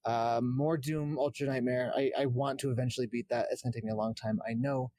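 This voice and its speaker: Male streamer guest, average pitch, informal delivery, no room sound. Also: fairly even intonation, brisk, mellow, no echo. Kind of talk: casual chat.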